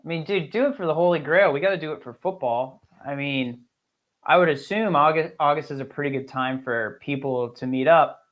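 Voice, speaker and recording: A man's voice: on the high side, brisk, fairly bright tone. A man co-hosting a casual fantasy sports show, joking and teasing in crude, easygoing banter. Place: remote call on a live stream.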